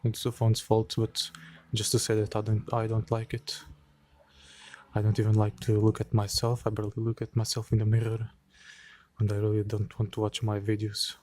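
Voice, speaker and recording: A man in an unedited late-night vlog, speaking quietly and hesitantly with many pauses and fillers, cold and shivering a little into a handheld phone outdoors. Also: lowish voice, monotone. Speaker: vlogger. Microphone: phone held by hand.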